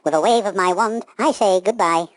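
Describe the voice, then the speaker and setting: A woman's voice: medium pitch, normal speed, close to an everyday voice. A woman playing a kindly, magical good fairy who declaims in rhyme in a children's pantomime. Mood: proud, declamatory.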